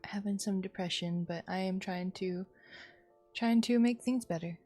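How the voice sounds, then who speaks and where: A woman's voice: medium pitch, normal speed, mellow, soft background music. A woman streaming a game with casual, informal live commentary.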